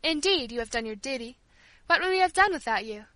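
A woman's voice: high voice, expressive, brisk, sharp tone, dry recording. A woman voicing an affectionate, grateful girl who offers exclaiming reassurance in an audiobook. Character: affectionate, grateful girl, one of the servant's charges. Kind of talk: audiobook character dialogue.

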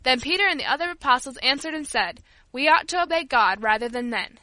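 A woman voicing a stern, quick-tempered father in an audiobook, with a firm, commanding manner. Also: sits high, animated, pushed and bright, no echo. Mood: pondering, solemn.

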